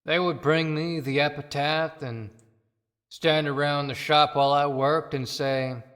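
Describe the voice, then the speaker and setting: A woman's voice: low voice, fairly even intonation, unhurried. A woman voicing a weary, rueful male tombstone carver in a theatrical audio play monologue, with long reverb around the voice. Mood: rueful, measured.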